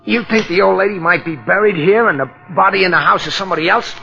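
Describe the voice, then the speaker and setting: An actor playing a simple, eager, folksy police sidekick with a gravelly voice and excitable slang, in old-time radio drama. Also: male voice, high voice, quick pace. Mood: puzzled, amazed. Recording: dull vintage radio recording, faint music.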